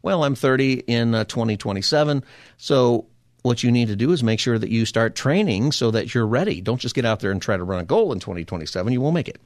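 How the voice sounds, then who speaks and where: A man's voice: mid-range voice, animated, fast, no echo, studio quality. A man hosting a faith-based talk radio show, earnestly encouraging listeners in a preacher-like way. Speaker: radio host. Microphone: studio microphone.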